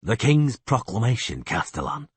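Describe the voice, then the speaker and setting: Guy reading a calm terse monster hunter, low quiet clipped lines, husky. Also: normal speed, no echo.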